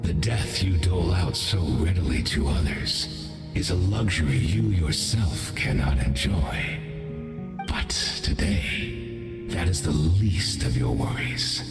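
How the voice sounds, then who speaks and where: A slow, echoing villain, a monstrous herald from a video game. He is menacing and grandiose, swinging between scorn and gloating in theatrical, measured phrases. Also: low-pitched, lively intonation, vocal fry, over music, full character voice. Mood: scornful. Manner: grandiose, measured, dramatic pauses.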